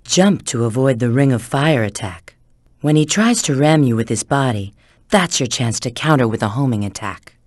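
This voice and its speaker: A woman's voice: a bass voice, expressive, normal speed, no room sound, clean studio sound. A woman voicing a sly, flirtatious, confident treasure-hunting agent in a video game, giving hints and callouts with teasing assurance. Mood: instructive, confident.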